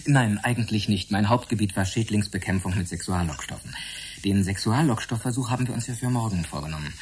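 An actor playing a polite, earnest young research assistant in a German radio drama, precise and deferential. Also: male voice, fairly low pitch, a little fast, slight background noise, close to an everyday voice. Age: young adult. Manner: precise, deferential, hesitant when embarrassed.

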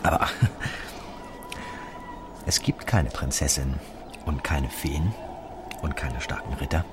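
An actor playing a bitter, cynical detective in a radio play, talking low and subdued. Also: male voice, slow pace, exaggerated voice. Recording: noticeable background noise.

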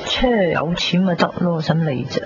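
A woman's voice: on the low side, animated, normal speed, background noise. A woman with a Cantonese accent playing a brash, flippant young friend in a radio drama, chatty and blunt. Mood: breezy. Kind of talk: 1990s radio drama dialogue. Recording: dull radio recording, loud crowd behind.

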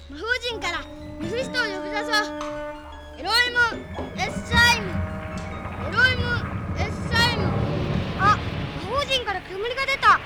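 A woman playing a bold, commanding boy sorcerer, declaiming urgently in a vintage children's audio drama. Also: extremely high pitch. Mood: solemn, incantatory. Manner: urgent, declamatory, giving orders. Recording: vintage record drama with music behind.

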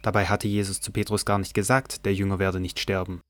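A man with a low voice narrates scripture for an audio drama. He reads from a script as the storyteller. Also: little pitch movement, a little fast, dry recording.

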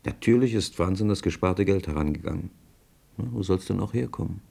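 Gravelly low narrator. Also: male voice, a little fast, a little mellow, heavily acted. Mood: sorrowful. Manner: colloquial, musing. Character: sympathetic, wry male narrator commenting like an old friend. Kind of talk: radio play narration.

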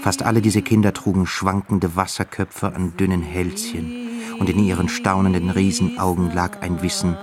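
Male narrator for literary drama, low register, grave and measured, clear music underneath. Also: very animated. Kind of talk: literary radio play narration.

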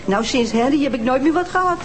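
An actress playing a bitter, self-pitying elderly woman who complains in a drawn-out way, in a Dutch TV detective drama. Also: high-pitched, lively intonation, slight background noise. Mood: aggrieved. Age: older.

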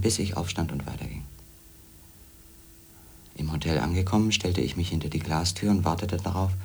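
An actor playing a brooding investigator who narrates soberly in the first person in a radio drama, measured and husky. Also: male voice, extremely low pitch, a little mellow, full character voice.

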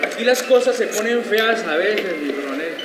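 An uneasy Colombian army lieutenant, played by a man in a radio play. His male voice is high and hesitant, deferential to superiors, heard in a noisy hall with long reverb. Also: lively intonation, normal speed. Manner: hesitant, repeats himself. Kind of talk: live radio drama reading.

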